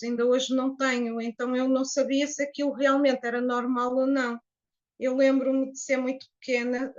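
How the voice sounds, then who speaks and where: A woman in her late fifties from Portugal with a Portuguese accent, calmly telling her life story as an interview guest with frequent hesitations. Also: sits high, lo-fi sound. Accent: Portuguese (European Portuguese). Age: 50s. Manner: reflective, unhurried, with hesitations. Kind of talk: personal testimony in an interview.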